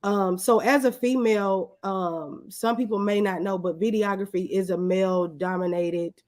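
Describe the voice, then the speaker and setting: A woman's voice: mid-range voice, fairly even intonation, moderate pace, a little mellow. A woman answering as an interview guest in a conversational, anecdotal way, acting out bits of dialogue as she talks. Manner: anecdotal, acts out quoted exchanges. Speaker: guest, videographer.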